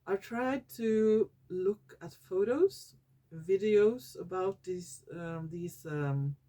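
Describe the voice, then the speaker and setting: Woman, Norwegian accent, non-native English, unhurried, fillers and self-corrections, casual vlog. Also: medium pitch, warm tone. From Norway. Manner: slow and searching for English words, many fillers and self-corrections. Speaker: YouTuber. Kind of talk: casual talking-head vlog.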